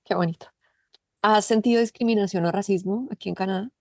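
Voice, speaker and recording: Gravelly-voiced woman hosting an interview. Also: mid-range voice, fast talker, dry recording, clean studio sound. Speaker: podcast host.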